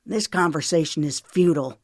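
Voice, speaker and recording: Woman reading a tired husband, clipped and short, lowish and without much room sound, for an audiobook. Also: a little fast, a little mellow, no echo, studio quality. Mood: curt. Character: weary stubborn Southern husband.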